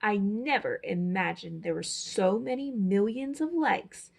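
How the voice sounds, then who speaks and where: A woman's voice: medium pitch, unhurried, gravelly. A woman reading a children's picture book aloud as a storyteller, with dramatic, emphatic phrasing. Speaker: storyteller, teacher.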